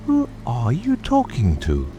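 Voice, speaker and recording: A man's voice: mid-range voice, huge pitch swings, slow, dull audio, music in the background. A man playing a pompous, fussy and imperious king in a dramatic audiobook reading. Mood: haughty. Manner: haughty, imperious.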